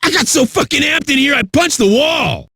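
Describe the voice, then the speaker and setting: A man playing a brash, foul-mouthed metal radio DJ in a video game, with a raspy voice and rowdy, swaggering delivery. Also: very high voice, expressive, moderate pace, bright, forceful tone, no echo, clean studio sound, full character voice. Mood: hyped swagger. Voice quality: raspy, gravelly. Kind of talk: high-energy rock radio DJ patter.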